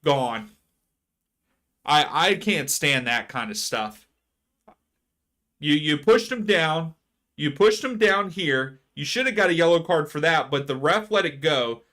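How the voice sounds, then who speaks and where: A man hosting a live soccer watchalong stream, chatting casually with viewers and ranting about fouls. His voice is going hoarse. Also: a little high, lively intonation, takes it slowly, long pauses, pushed and bright. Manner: chatty, reads chat aloud, rants about fouls. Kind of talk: casual live match commentary.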